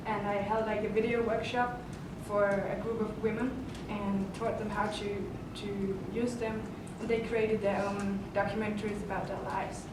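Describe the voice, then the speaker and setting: Halting woman in an echoey hall. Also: medium pitch, level tone. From Denmark. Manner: halting, searching for words. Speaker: panelist, audience speaker. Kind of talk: conference panel discussion. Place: conference hall. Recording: echoey hall, distant mic, loud noise.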